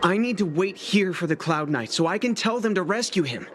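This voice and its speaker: Anxious, high-voiced young apprentice craftsman. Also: animated, fairly quick, a little hiss or hum. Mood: anxious, distressed. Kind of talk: video game character acting. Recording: loud game music behind voice. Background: game music.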